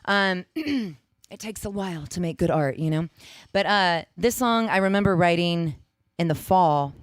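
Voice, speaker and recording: A middle-aged American woman with a low, raspy voice, chatting casually and jokily between songs in a rambling, self-deprecating way. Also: animated, unhurried, no room sound, clean studio sound. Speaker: singer-songwriter. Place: live-streamed home performance with guitar.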